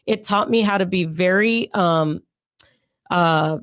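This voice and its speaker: Raspy-voiced woman telling her story. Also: lowish voice, slow pace, a bit bright, dry recording, clean studio sound. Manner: rambling, chatty, many fillers. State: hoarse from sinus pressure. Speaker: guest. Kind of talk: casual interview storytelling.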